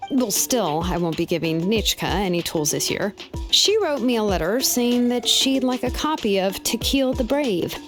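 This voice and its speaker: A woman playing an easygoing young female blacksmith in a video game, with a relaxed, wry way of talking. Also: mid-range voice, moderate pace, close to an everyday voice. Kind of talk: video game character acting. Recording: game music behind. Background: game music.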